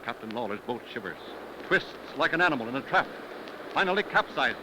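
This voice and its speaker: A man's voice: medium pitch, big pitch swings, a little fast, noisy background. A man narrating in the theatrical, emphatic style of a 1930s radio drama. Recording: old 1930s radio broadcast, music behind. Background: orchestral dramatic music.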